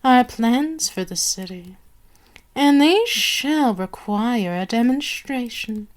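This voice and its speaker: A woman voicing a sadistic, possessive vampire queen in an ASMR roleplay, with a haughty, teasing, drawn-out delivery. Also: on the high side, lively intonation. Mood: haughty, scheming.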